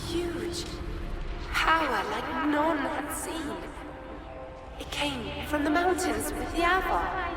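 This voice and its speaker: A woman playing the sorrowful, fragmented spirit of a long-dead video game elf, speaking in halting, dreamlike phrases. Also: high-pitched, over a noisy crowd. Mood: haunted, solemn. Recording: distant, heavy reverberant echo under music.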